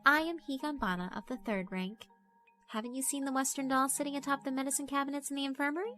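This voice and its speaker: A woman's voice: high voice, fairly even intonation, fast, sharp tone, soft background music, voice pushed up. A woman voicing a mischievous, predatory girl spirit in a horror visual novel, teasing and politely condescending. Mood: mischievous, knowing. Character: mischievous, predatory yokai schoolgirl, doll-like, elegant.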